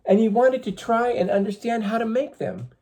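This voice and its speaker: A man reading a story aloud to schoolchildren in an expressive, teacherly way, with emphatic phrasing. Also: very high voice, moderate pace. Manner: teacherly, emphatic, addresses listeners with questions.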